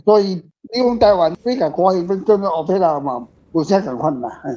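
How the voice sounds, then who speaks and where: A man's voice: high voice, a little fast, mellow. A man with a Taiwanese accent answering casually as a guest author in a panel discussion, rambling and repeating his words. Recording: dull, lo-fi sound.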